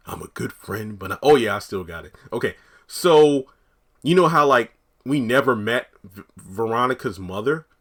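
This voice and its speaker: Brief: lower-pitched man, raspy morning voice, chatty rambling commentary. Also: fairly bright tone. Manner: rambling, chatty, thinks aloud. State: just woken up. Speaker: TV commentary YouTuber. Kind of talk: casual speculative commentary.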